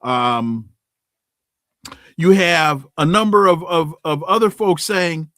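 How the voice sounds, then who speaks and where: A man's voice: on the high side, takes it slowly, a bit bright, no echo. A man guesting as a political scientist on talk radio, giving impassioned commentary with frequent restarts.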